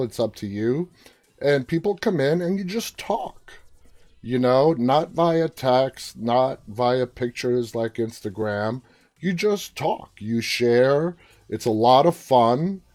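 A man's voice: medium pitch, darkish tone. A man from New York with a New York accent, hosting a casual live show and chatting as he reads viewer comments. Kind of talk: casual live-stream chat.

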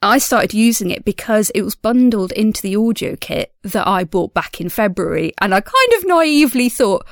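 A woman speaking in an informal audio diary, chatty and self-deprecating in an animated way. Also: medium pitch, moderate pace, hardly any pauses, no echo, clean studio sound. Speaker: audio drama creator and diary host.

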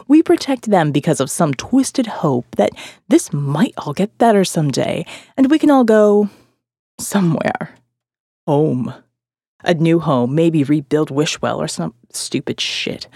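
A woman playing a gruff, foul-mouthed male survivalist leader in audio fiction, blunt and world-weary. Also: sits low, a little mellow, dry recording, clean studio sound, exaggerated voice. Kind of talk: dramatic audio fiction monologue.

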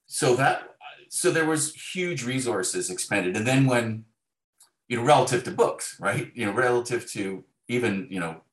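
A man with a low voice talks casually as a guest in an interview. His phrasing is halting and full of hedges, and the recording sounds quite roomy and echoey. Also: a bit bright. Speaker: guest, novelist.

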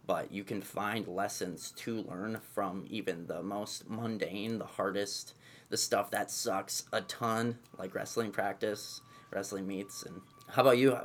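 Young guy in his twenties chatting loosely, lots of "um" and "essentially", lowish voice. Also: measured pace, slight background noise. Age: 20s. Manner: relaxed, rambling, many fillers and "essentially". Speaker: guest, movement coach. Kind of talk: casual conversational interview.

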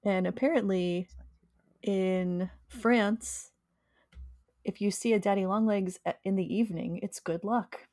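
Woman co-host from New York, slightly gravelly tired voice, chatty, dry close mic. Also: medium pitch, steady tone, unhurried, darkish tone, no room sound. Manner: chatty, enthusiastic about bug facts. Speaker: podcast co-host. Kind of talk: casual chat.

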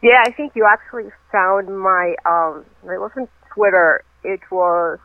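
A woman's voice: mid-range voice, unhurried. A woman who grew up in Venezuela, a personal trainer, chatting as a guest and telling her story at length over the phone. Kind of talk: casual interview over the phone. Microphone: telephone. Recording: tinny phone line, dry.

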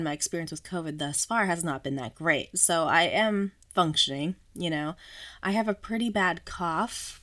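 A woman hosting her own podcast in a casual, chatty way, sick and slightly hoarse, often laughing at herself. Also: sits low, fairly bright tone. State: sick, voice a little off. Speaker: podcast host. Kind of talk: casual solo podcast chat.

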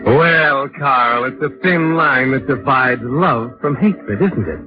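A man's voice: medium pitch, close to an everyday voice. Guy acting as creepy knowing radio suspense narrator, taunting and dramatic, muffled old recording, music behind. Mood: ominous, insinuating. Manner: dramatic, deliberate, insinuating. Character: ominous, knowing, taunting male storyteller addressing the culprit. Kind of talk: 1940s radio suspense narration in second person. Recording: dull vintage radio with loud music.

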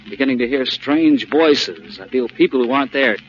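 A man's voice: mid-range voice, lively intonation, a little fast, soft background music, natural voice. A man playing a cocky, impatient young playboy prankster with a breezy, teasing manner, in 1940s radio comedy acting on a muffled, noisy recording.

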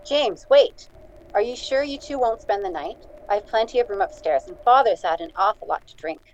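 A woman's voice: mid-range voice, lively intonation, moderate pace, darkish tone, slight background noise, lightly acted. Woman playing a worried farm wife, slightly muffled. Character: worried, caring farm wife, daughter and sister. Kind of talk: radio drama acting.

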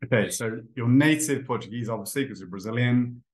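Friendly man hosting an English-learning podcast. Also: mid-range voice. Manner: friendly, with frequent fillers and restarts, slows down to explain words. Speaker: podcast host and English teacher. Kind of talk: casual interview for English learners.

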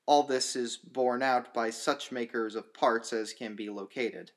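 Man reading classic horror fiction, mid-pitched. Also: fairly even intonation, unhurried. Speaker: audiobook narrator. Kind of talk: scripted narration of a classic horror story.

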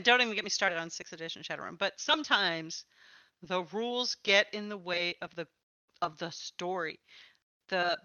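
A woman's voice: medium pitch, sharp tone, dry recording, muffled recording. A woman hosting a conversational interview about tabletop gaming. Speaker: interviewer, podcast host.

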